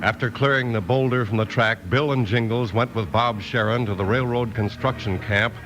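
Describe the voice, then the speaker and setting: A man's voice: mid-range voice, normal speed, muffled recording, loud music behind the voice. A man with an American accent reading as a scripted 1950s radio announcer. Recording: hissy old radio recording.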